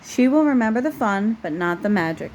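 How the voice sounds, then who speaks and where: A woman voicing a very old, wise male troll elder from a storybook, giving solemn, measured counsel. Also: a little high, animated, darkish tone, lightly acted, poor audio. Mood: solemn warning. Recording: noticeable background noise.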